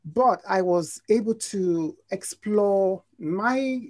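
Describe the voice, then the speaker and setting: A young adult woman with a Nigerian accent, telling her life story in a video call interview, reflective and halting with restarts. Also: mid-range voice, a little mellow. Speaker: interview guest. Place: home room, indoors.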